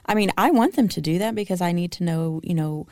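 Female co-host, low and gravelly, rambling casual talk, dry studio sound. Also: fast talker, warm tone, no room sound. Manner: laughs often, self-critical, rambling. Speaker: podcast co-host. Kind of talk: casual chat. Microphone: studio podcast microphone.

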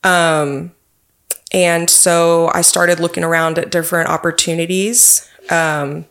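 Deep-voiced woman with a Texan accent. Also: flat delivery, takes it slowly, creaky, fairly bright tone. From Texas. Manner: earnest, friendly storytelling. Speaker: police officer guest. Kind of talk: interview answers.

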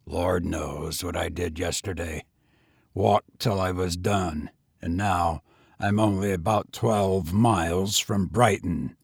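Male reader as a weary gentleman tramp; low, hushed, dry, unhurried. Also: fairly even intonation, gravelly, breathy edge, no echo, full character voice. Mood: grim. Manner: grim, subdued, clipped.

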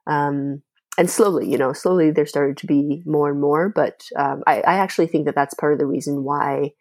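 A woman's voice: low voice, steady tone, moderate pace, darkish tone, no echo. A young woman from China, a Mandarin native speaker, talking thoughtfully in an interview with many fillers and restarts. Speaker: podcast host, guest. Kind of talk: casual interview talk.

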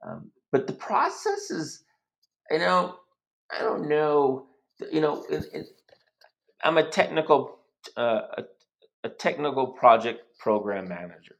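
A man's voice: on the high side, very animated, measured pace, creaky, pauses often, fairly bright tone. A man in his sixties from the United States, hosting a casual interview and telling long rambling stories with frequent restarts. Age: 60s. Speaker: host.

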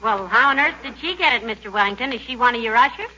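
Bright, teasing old-radio sitcom wife. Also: female voice, on the high side, animated, fast. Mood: sensible. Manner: dry, teasing, gently scolding. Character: patient, teasing, sensible wife of a bumbler. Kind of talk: 1940s American radio comedy acting. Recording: dull, noisy 1940s radio recording.

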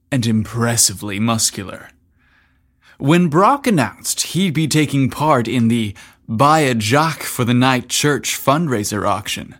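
Slow-paced male storyteller. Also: medium pitch, a bit bright. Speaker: podcast host and storyteller. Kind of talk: scripted story narration.

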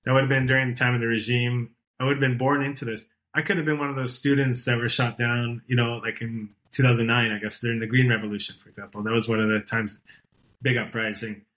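A man in his late thirties talks casually as an interview guest. His voice sits at an ordinary middle pitch. He wanders through his points with plenty of "you know" and restarts. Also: flat delivery, quick pace, a bit bright, rough recording. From born and raised outside Iran. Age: 30s. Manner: rambling, many fillers and restarts. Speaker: podcast guest.

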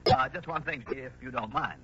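A man's voice: mid-range voice, moderate pace, pushed and bright, natural voice. A man playing a wry, confident American insurance investigator with a dry sardonic wit in a 1960s radio drama. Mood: wry, teasing. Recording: dull, noisy old radio recording.

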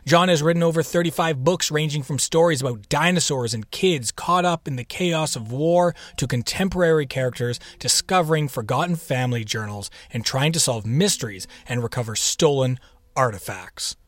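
A man's voice: a little high, moderate pace. A man hosting a podcast with a playful, theatrical manner, chatting his way through a scripted introduction. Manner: playful, theatrical, with whispered echo-like repeats and asides. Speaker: podcast host.

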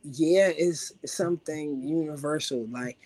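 Raspy young man, laid-back rapper talk. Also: on the high side, measured pace, dark tone, no echo, poor audio. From Washington, D.C. area. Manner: laid-back, constant "you know what I'm saying" fillers. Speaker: rapper, interview guest. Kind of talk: casual interview chat.

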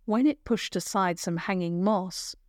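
A woman narrating a children's adventure story in a measured, careful storytelling manner. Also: medium pitch, darkish tone, no room sound, studio quality.

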